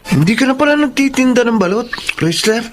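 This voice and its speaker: A man's voice: very high voice, animated, brisk, over loud music. A man playing a slick young street friend pushing easy money in a Filipino radio drama. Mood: probing.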